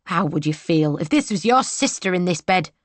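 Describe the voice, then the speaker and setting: A woman's voice: on the low side, expressive, normal speed, no room sound, close to an everyday voice. A woman voicing a protective maid who scolds and bristles with indignation. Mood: indignant. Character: fierce loyal young maid, protective and indignant.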